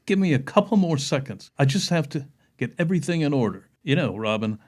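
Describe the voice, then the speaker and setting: A man's voice: on the high side, expressive, a little fast. A man playing a secretive, controlling celebrity chef with sinister charm in a readers theater audio play. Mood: coaxing, evasive.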